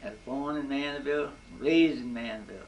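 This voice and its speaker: A man's voice: medium pitch, fast talker, creaky. A man of eighty-eight, answering briefly and matter-of-factly. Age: 80s. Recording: distant mic with noise.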